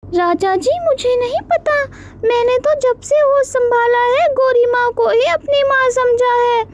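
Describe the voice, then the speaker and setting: A woman voicing an innocent, confused young male crow chick with childlike pleading in an animated story. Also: very high-pitched, a little slow, heavy background noise, pitched above the performer's own voice. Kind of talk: animated moral story dialogue.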